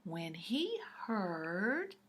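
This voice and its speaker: A woman reading a picture book aloud to young children in an expressive, deliberate, explanatory way. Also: mid-range voice, noisy background.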